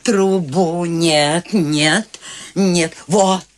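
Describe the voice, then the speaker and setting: An actress playing an eccentric, life-loving old woman in a radio play, talking in a warm, coaxing way. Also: fairly low pitch, fairly even intonation, extremely slow. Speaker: Maude, lead role in radio play.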